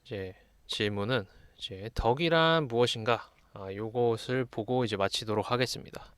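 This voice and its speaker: A man lectures in a voice of average pitch. He reads passages aloud and then explains them in a measured, teacherly manner. The sound is dry, with very little room in it. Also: clear, resonant tone, no room sound. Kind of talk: explanatory lesson, reading classical texts aloud and commenting.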